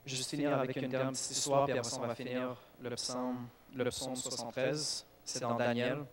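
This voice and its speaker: A man, a native English speaker, preaching in a church with lively bursts of emphasis and frequent restarts. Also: medium pitch, normal speed, a loud crowd. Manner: animated preaching with restarts and self-corrections. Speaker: youth speaker. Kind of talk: sermon.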